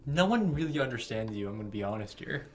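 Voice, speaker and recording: A young man co-hosts a relaxed podcast, full of teasing jokes and quick asides. His pitch sits in the middle range. Faint music plays under the talk. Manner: joking, teasing, wraps up with quick plugs. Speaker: podcast co-host. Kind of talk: casual podcast chat.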